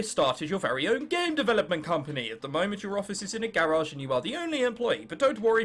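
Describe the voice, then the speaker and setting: A man's voice: high voice, sharp tone. A 19-year-old man with an English accent doing casual, sarcastic game commentary with mock bravado, sometimes reading on-screen text aloud. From England. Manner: sarcastic, self-mocking bravado, casual swearing. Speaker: gaming video host.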